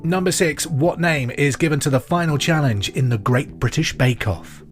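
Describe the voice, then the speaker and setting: A man presenting a podcast pub quiz in a scripted, clear and deliberate way. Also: fairly high pitch, soft background music. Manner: repeats questions clearly and deliberately.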